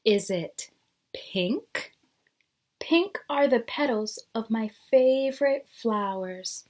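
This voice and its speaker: A woman's voice: on the high side, takes it slowly, darkish tone. A woman teacher reading a picture book aloud to young children, explaining things simply and asking them questions.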